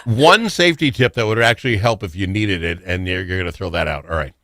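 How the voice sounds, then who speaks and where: A man's voice: low-pitched, fast, heavy background noise. A man hosting a radio show, chatting casually and cracking jokes at his guest. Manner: joking, teasing.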